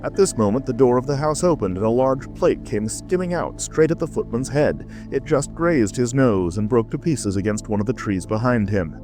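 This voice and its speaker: A man's voice: mid-range voice, expressive, moderate pace, hardly any pauses, a little mellow, faint music behind. A man narrating a classic storybook as a scripted audiobook.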